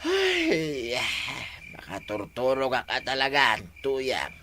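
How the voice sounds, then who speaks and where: A man's voice: medium pitch, wildly swooping pitch, slow pace, bright, forceful tone, slight background noise, exaggerated voice. A man with a Filipino accent acting an evasive cheating village husband in an Ilocano comedy drama. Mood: sleepy, weary.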